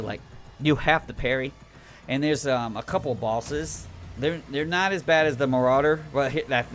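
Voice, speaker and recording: A tired man giving a casual, rambling spoken game review late at night, swearing often and restarting his sentences. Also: mid-range voice. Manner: rambling, profane, many restarts and fillers.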